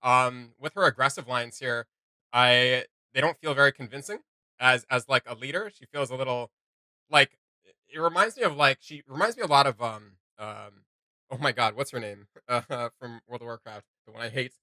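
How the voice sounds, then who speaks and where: A reaction video host giving casual, conversational commentary, haltingly, searching for words. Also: mid-range voice, sharp tone, no room sound.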